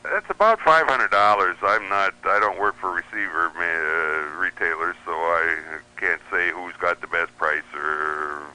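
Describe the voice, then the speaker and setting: A man's voice: low-pitched, measured pace, hardly any pauses, bright, forceful tone, clear, resonant tone, poor audio. A man speaking as a guest on a radio talk show, hesitant and full of fillers and restarts. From United States. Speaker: guest radio receiver reviewer. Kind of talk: casual interview chat.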